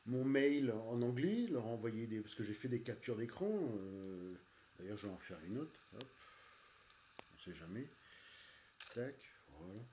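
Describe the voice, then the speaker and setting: An irritated man rambles along in casual, halting speech, stuffing his sentences with fillers and false starts. His voice is dark and mellow. It sounds dull and lo-fi, and it echoes around a hollow room. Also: lowish voice, slight background noise. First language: French. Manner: hesitant, many "euh" and "voilà", annoyed. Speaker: video game reviewer. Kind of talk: casual rant while playing a game.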